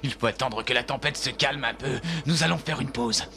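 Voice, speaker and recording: A man's voice: mid-range voice, brisk, close to an everyday voice. A man voicing an earnest, determined wolfdog hero in a French cartoon dub. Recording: music behind the voice.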